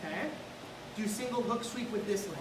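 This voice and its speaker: A woman's voice: medium pitch, moderate pace. A woman teaching jiu-jitsu, encouraging and walking a student through each move as they grapple. Her voice comes from far across a large gym, with a roomy echo and a noisy background. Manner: encouraging, coaching step by step while grappling. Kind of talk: hands-on technique teaching. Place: large indoor gym with mats. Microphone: camera far across the room.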